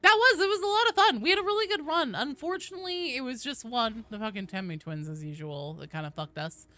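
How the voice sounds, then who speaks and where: A woman's voice: high-pitched, lively intonation, quick pace, pushed and bright. A woman doing casual live gaming commentary, informal and sweary, chatting with her viewers. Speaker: game streamer, host.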